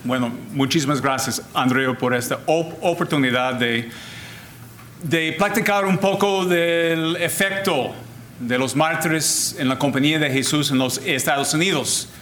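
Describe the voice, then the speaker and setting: An older man, a Jesuit priest whose first language is English, giving a deliberate, formal talk with frequent restarts. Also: a little high, steady tone, fairly bright tone, slight background noise. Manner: deliberate, repeats and restarts words, switches to English phrases. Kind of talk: slow formal lecture.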